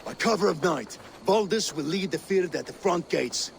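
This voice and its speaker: A high male voice with a creaky edge, a confident Viking war ally in a cinematic game. He delivers plans in dramatic, declarative phrases over faint outdoor ambience. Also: expressive, normal speed, a little hiss or hum. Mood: intent. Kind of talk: cinematic video game acting.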